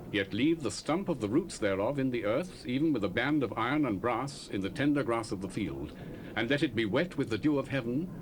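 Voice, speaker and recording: A man's voice: mid-range voice, lightly acted. A man playing a wise prophet who gives grave, earnest counsel to a king. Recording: cassette tape hiss.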